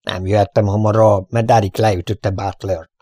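A man's voice: mid-range voice, very animated, gravelly, no echo, studio quality, voice pushed up. A man voicing a cool-headed bandit henchman in a western story. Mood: unruffled. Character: cool-headed male bandit henchman answering his boss.

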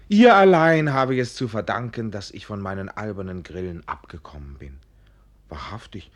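Husky, swooping young dreamer of a student. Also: male voice, on the low side. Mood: sober, smug. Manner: theatrical, declaiming. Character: excitable, tipsy dreamy young male student, earnest and poetic. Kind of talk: German radio play acting.

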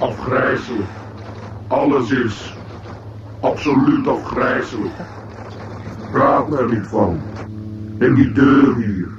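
An actor playing a deeply depressed, self-pitying robot in a radio play, talking in a weary, sarcastic, morose way. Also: male voice, takes it slowly, long pauses, roomy sound, far from the mic, natural voice. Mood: gloomy, bitter. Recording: muffled, very noisy old radio drama recording.

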